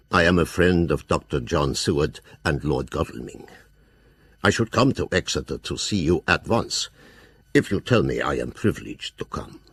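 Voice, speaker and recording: An actor playing a grave, courteous older professor in an audio drama, reading his letters aloud in a formal, deliberate way. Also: very deep voice, breathy edge, no room sound, full character voice.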